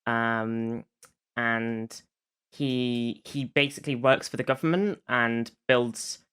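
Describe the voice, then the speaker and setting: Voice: mid-range voice, steady tone, takes it slowly, a bit bright, clear, resonant tone. A podcast host recapping a show in casual conversation, feeling for words along the way.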